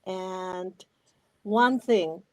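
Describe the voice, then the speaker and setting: A woman in her sixties with a Filipino accent, speaking reflectively and deliberately as an interview guest. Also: medium pitch, rough recording. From Philippines. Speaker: podcast guest, retired educator.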